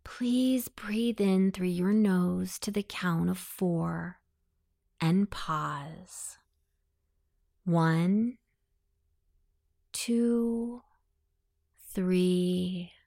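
A woman leads a guided meditation at an extremely slow, deliberate pace. Her voice sits a little low and has a clear creak to it. She gives soothing instructions. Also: mellow.

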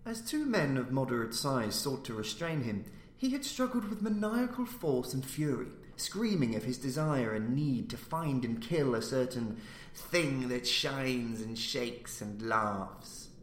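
A man's voice: medium pitch, sing-song, measured pace. Echoey male voice narrating classic horror. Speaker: podcast host reading classic horror fiction. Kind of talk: scripted literary audiobook narration. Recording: roomy, echoey indoor sound.

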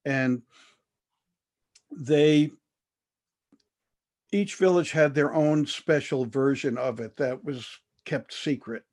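An older man telling his life story as an interview guest, measured and thoughtful, with frequent fillers and restarts. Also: on the high side, a little mellow.

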